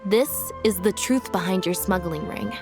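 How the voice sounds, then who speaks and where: A woman playing a calm, deductive young detective in a video game, speaking in measured, articulate and deliberate exposition. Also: medium pitch, lightly acted. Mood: coolly accusing. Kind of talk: scripted video game dialogue. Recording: music behind the voice.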